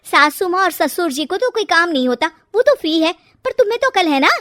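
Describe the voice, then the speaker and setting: A woman's voice: very high-pitched, sing-song, moderate pace, fairly bright tone, no room sound, studio quality, pitched above the performer's own voice, full character voice. A woman voicing a bossy middle-aged mother-in-law in a cartoon moral story, scolding and indignant. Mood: angry, scolding.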